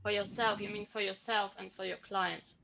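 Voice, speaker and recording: A woman's voice: medium pitch, steady tone, normal speed, creaky, sharp tone. Eager woman over a noisy call. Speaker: webinar attendee. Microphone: video call.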